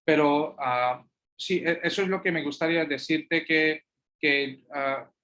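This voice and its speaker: A man whose first language is Hindi speaks at an unhurried, slow pace. He pauses often, fills gaps and restarts phrases as he answers questions in a video call. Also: fairly high pitch, steady tone, sharp tone. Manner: slow and halting, many fillers and restarts. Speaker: guest lecturer and translator.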